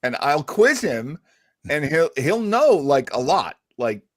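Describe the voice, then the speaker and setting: A man's voice: a little high, big pitch swings, slow pace, no echo, studio quality. A man hosting a podcast in a casual, conversational way. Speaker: podcast host.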